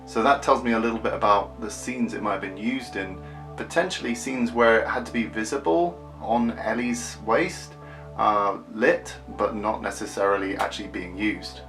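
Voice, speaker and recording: Low, gravelly-edged man presenting collectibles. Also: moderate pace, a bit bright, soft background music. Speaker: film prop collector presenting an unboxing. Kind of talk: casual unboxing and close-up commentary.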